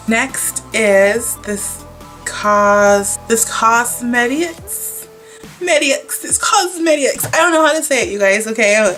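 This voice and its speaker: A woman's voice: a little high, fairly bright tone. A young woman vlogger with a congested, stuffy voice from a cold, chatting casually and rambling with lots of "like" and "um" as she unboxes beauty products. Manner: chatty, rambling, many likes and ums.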